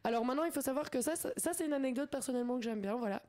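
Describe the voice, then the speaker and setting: A woman's voice: sits high, very fast, darkish tone. A young woman hosting a light quiz of news anecdotes on the radio, hesitant and often breaking into giggles. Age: young adult. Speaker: radio show host presenting a quiz game.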